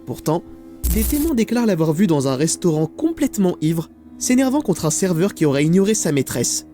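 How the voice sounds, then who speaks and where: A man's voice: sits high, big pitch swings, fairly quick, mellow. A man narrating a scripted mystery documentary with dramatic, suspenseful phrasing. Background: background music.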